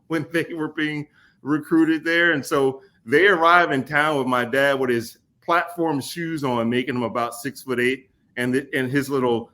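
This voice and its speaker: A man in his forties from Kentucky, a podcast guest telling stories in a relaxed way, laughing often and pausing with fillers. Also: medium pitch. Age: 40s. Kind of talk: casual storytelling conversation.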